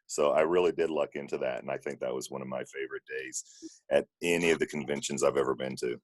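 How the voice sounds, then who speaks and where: A man with an extremely deep voice tells a relaxed, casual anecdote. He sounds like a voice actor talking among colleagues on a group call. The sound is dry, with very little room. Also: fast, no echo. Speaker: volunteer audio drama voice actor.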